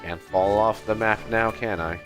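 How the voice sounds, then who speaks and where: A man's voice: on the low side, steady tone, moderate pace, over music. A man livestreaming a video game, musing and thinking aloud in casual commentary.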